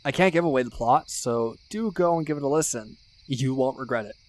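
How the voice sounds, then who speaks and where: A young man playing a teasing, earnest, geeky best friend in a casual ASMR roleplay, rambling conversationally with musing pauses. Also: mid-range voice, natural voice. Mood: reflective, earnest. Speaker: voice actor in an audio roleplay.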